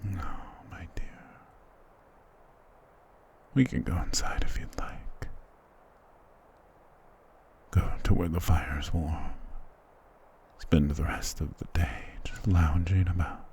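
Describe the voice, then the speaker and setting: Man playing a deep, whispering, doting vampire lord. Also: steady tone, vocal fry, darkish tone, full character voice. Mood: tender, seductive. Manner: slow, tender, possessive, formal endearments. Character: dominant, doting, romantic male vampire lord. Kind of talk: intimate ASMR roleplay, half-whispered.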